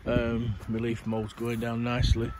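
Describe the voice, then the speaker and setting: A middle-aged man with a croaky, worn-out voice, chatting in a rambling way while he gardens outdoors. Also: medium pitch, monotone, unhurried, heavy background noise. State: croaky voice, coughing. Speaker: allotment gardening vlogger. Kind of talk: casual garden update to camera.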